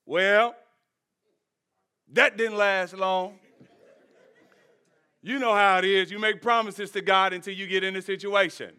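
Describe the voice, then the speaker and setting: A man's voice: high voice, fairly even intonation, unhurried, pauses often, sharp tone, clear, resonant tone. A man preaching a sermon as pastor, folksy and joking, with laughter and asides to the congregation in a church sanctuary.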